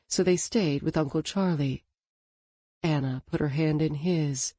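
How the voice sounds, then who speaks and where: A woman reading a novel as an audiobook narrator in a calm, even storytelling manner. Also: sits low, a little slow, no echo. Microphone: studio microphone.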